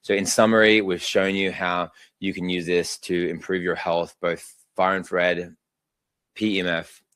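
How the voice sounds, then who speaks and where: A man's voice: low voice, flat delivery, a little slow, a bit bright, no echo. A man hosts a live online presentation. He talks through his slides in a loose, chatty way with plenty of fillers. The sound is dry and close. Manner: casual, chatty, many fillers. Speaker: webinar host.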